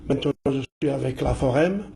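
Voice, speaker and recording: A man from Algeria, president of a charity, talking as a guest in a TV studio. He is congested from the flu, and he speaks haltingly with many restarts and fillers. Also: medium pitch, creaky, background noise. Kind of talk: casual chat.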